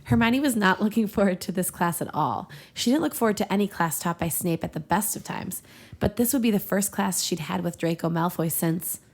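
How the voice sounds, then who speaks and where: Creaky-voiced woman reading fiction aloud. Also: medium pitch, brisk. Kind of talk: casual comedic read-aloud narration.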